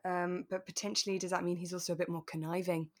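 A woman's voice: lowish voice, little pitch movement, quick pace. A woman co-hosting a podcast, casually talking through a radio drama in a reflective, explanatory way. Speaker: podcast co-host.

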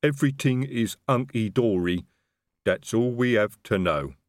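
A big, blunt troll played by a male audiobook reader speaks slowly and deliberately. His grammar is rough and his th turns into d or t, with a dangerous cleverness underneath. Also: medium pitch, dry recording, clean studio sound, natural voice. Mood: brittle, guarded.

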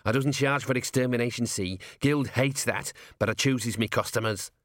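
A man voicing a tiny, belligerent male gnome rat-catcher who talks gruff and threatening in rough slang. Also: medium pitch, normal speed, a bit bright, no room sound, studio quality, natural voice. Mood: fierce.

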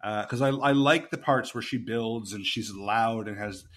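A man's voice: on the low side, normal speed, fairly bright tone. A man hosting a casual podcast chat, telling a story with lots of fillers and enthusiasm. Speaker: podcast host.

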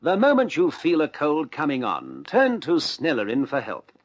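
Extremely animated male commercial announcer. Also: a little high, moderate pace, faint music behind. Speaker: advertising announcer. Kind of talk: scripted radio commercial.

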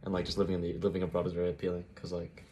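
Very low-voiced young man chatting fast. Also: completely flat delivery, warm tone, a little hiss or hum. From Thailand. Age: young adult. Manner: easygoing, heavy use of "like" fillers. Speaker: guest, international college student. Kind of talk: casual interview chat.

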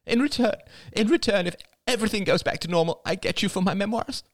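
A woman's voice: medium pitch, fast, pitched above the performer's own voice, close to an everyday voice. A narrator voicing a lonely older woman in her sixties, a former reporter, who talks in a self-pitying, tearful, wavering way. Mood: pleading.

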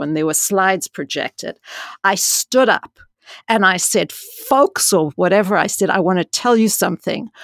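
A woman who is a dean and scientist, telling her story frankly in an interview with a loud voice, peppered with "you know" and rising for emphasis. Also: medium pitch, dry recording, studio quality.

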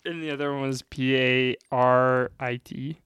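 A man with a smooth, resonant voice tells a goofy story on a casual podcast, with plenty of halting restarts. The recording is dry and close. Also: medium pitch, level tone, fairly bright tone, no room sound. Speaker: podcast co-host.

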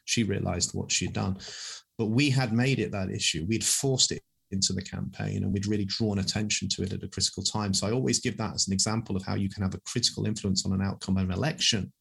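A man in his fifties, an animal advocacy campaigner, answering interview questions with a loud voice that carries, speaking quickly off the cuff. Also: sits low, fairly even intonation, vocal fry, husky.

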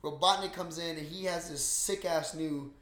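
Young guy, higher-pitched, echoey room. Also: moderate pace. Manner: slangy, swearing, excitable storytelling. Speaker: podcast host. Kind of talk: casual movie recap chat. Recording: roomy, echoing room sound.

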